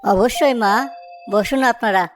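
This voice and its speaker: A woman's voice: mid-range voice, unhurried, over loud music, lightly acted. A woman voicing a village samosa seller in a cartoon story. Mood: humble, grateful. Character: village samosa sellers, one humble, one jealous.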